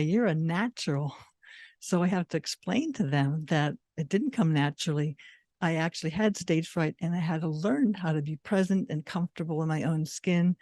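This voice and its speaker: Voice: medium pitch, a little mellow, no echo, clean studio sound. A person giving a personal testimonial as a teacher and public speaker.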